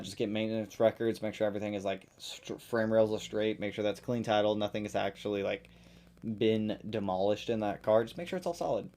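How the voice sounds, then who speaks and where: A man hosting a live stream, reading out viewer questions and answering them in a loose, chatty way. He greets regulars with sudden loud, high bursts of excitement. His voice sounds a little worn after a long session. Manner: reads viewer comments aloud and answers them in a relaxed, chatty way; greets viewers loudly with sudden jumps in pitch. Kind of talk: casual live stream Q&A about cars.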